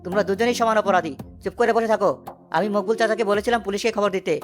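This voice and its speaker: A woman's voice: medium pitch, fairly even intonation, racing, soft background music, natural voice. A woman playing an earnest young village rescuer in a cartoon story, speaking in a dramatic, urgent manner. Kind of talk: animated cartoon story acting.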